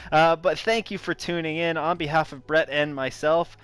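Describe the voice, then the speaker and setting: Smooth-voiced male esports commentator. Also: fairly high pitch, steady tone, unhurried, a bit bright, faint music behind. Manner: hesitant, filler-heavy, friendly sign-off delivery. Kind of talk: broadcast race commentary wrap-up.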